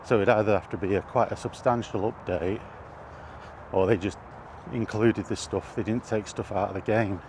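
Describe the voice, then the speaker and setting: A British man giving rambling, casual commentary while walking outdoors, halting and thinking aloud as he goes. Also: fairly low pitch, fairly even intonation, a little mellow. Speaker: video host. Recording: outdoor ambient noise throughout.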